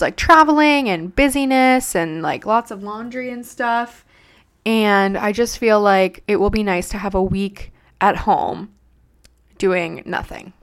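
Young woman, podcast host, stuffy cold voice, smooth clear tone, rambling casual chat. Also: mid-range voice, fairly bright tone. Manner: chatty, rambling, frequent fillers and "like". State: congested with a cold.

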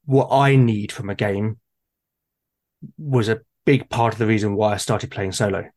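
A man in casual, reflective conversation, speaking in halting, thoughtful phrases. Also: fairly low pitch, a little mellow. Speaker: podcast speaker, solo gamer.

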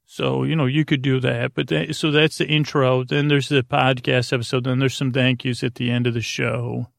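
A man with a rusty, gravelly voice hosting a bedtime podcast, meandering absent-mindedly through halting tangents and often interrupting himself. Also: medium pitch, little pitch movement, no echo, clean studio sound. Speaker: podcast host. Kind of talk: rambling bedtime monologue.

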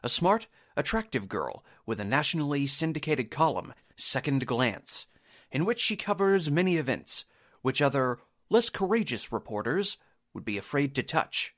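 A man's voice: medium pitch, very animated, moderate pace, a bit bright. A man announcing a radio drama in a formal, dramatic 1940s style, reading from a script.

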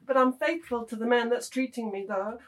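Lady doing a flustered young street girl, high voice, halting and pleading, bit far from mic. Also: close to an everyday voice. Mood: defensive, pleading. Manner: halting, stammering. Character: defensive young street prostitute pleading her loyalty. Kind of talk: dramatised table reading of a literary novel. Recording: voice distant from the mic.

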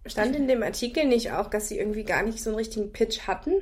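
A woman's voice: fairly high pitch, fast talker, a little mellow. A woman co-hosting a casual review chat, thinking aloud critically and restarting her sentences. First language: German.

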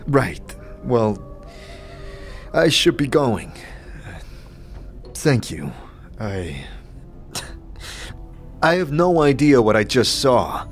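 A man acts out a plain-spoken fantasy adventurer, wary and understated. He talks hesitantly, as in an audio drama, with music low behind him. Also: sing-song, extremely slow, long pauses, full character voice. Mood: bewildered.

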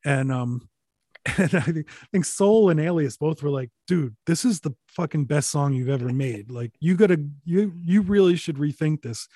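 A man being interviewed, telling stories in a relaxed, rambling way with many fillers, restarts and casual swearing. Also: on the high side, normal speed, no echo, clean studio sound. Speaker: interview guest, recording artist. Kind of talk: relaxed conversational storytelling.

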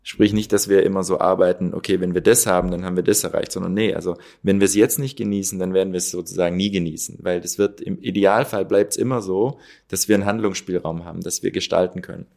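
A man's voice: deep voice, steady tone, fast, creaky, few pauses, darkish tone. A man who founded a hotel, talking reflectively as an interview guest, searching for words with many fillers.

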